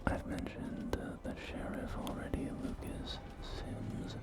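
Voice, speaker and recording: A man playing a folksy post-apocalyptic real estate agent in a whispered ASMR roleplay, with gentle, hesitant, reassuring sales patter. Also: slow, natural voice. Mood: confiding. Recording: close whisper over soft music.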